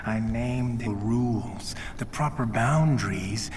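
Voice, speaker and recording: An actor playing a somber man in a video game, speaking in a grave, deliberate storytelling manner. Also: mid-range voice, a little mellow, slight background noise, lightly acted. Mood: brooding, grave. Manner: slow, weighty, deliberate storytelling. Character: somber man recounting a grim childhood wolf attack. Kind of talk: dramatic video game acting.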